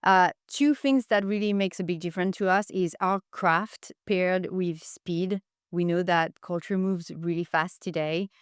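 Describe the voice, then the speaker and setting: A woman's voice: fairly low pitch, unhurried, hardly any pauses, clean tone, no echo, studio quality. A woman speaking as a podcast guest, giving enthusiastic, explanatory answers in a conversational interview style. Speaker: podcast guest, marketing executive.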